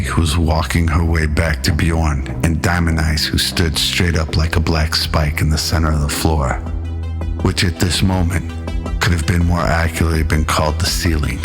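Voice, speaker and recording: A man narrating a scripted sci-fi radio drama in an old-school, measured storytelling manner. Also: deep voice.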